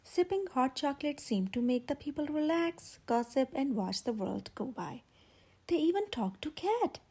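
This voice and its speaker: A woman reading a children's story aloud as a storytime host, expressive, with dramatic emphasis and a breathy voice. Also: high-pitched, moderate pace. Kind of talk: animated children's read-aloud narration.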